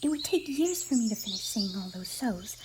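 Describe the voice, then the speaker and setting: A woman voicing an affectionate, bubbly young cartoon pony in an ASMR roleplay, with a squeaky, breathy voice and a theatrical, tender manner. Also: very animated, a little fast, lightly acted. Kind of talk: ASMR fan fiction roleplay. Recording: noisy, birdsong and ambience behind.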